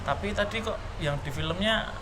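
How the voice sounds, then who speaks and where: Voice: mid-range voice, normal speed. An easygoing co-host of a film review, chatting casually and finishing the other host's sentences. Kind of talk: casual chat. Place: public place with traffic. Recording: traffic noise behind.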